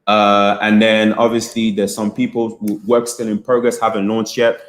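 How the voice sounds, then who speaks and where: British guy hosting a live stream, kinda low voice, chatty, lots of uhs and repeats. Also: flat delivery, moderate pace. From United Kingdom. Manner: chatty, encouraging, repeats phrases, frequent fillers. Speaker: business coach hosting a livestream. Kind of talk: casual livestream talk to chat.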